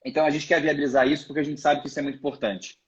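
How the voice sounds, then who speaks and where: A man's voice: fairly high pitch, little pitch movement, rapid-fire, a loud crowd. Hesitant man on a muffled call. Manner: hesitant, many restarts and fillers. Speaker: guest, financial education project member. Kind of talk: live online interview. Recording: muffled video call audio.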